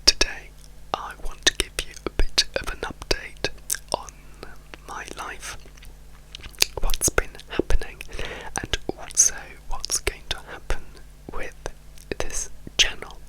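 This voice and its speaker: Slow, whispering man. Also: low-quality recording. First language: Italian. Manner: soft, slow, intimate whisper with frequent restarts. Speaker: ASMR YouTuber. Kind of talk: whispered ASMR ramble. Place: indoors, home room.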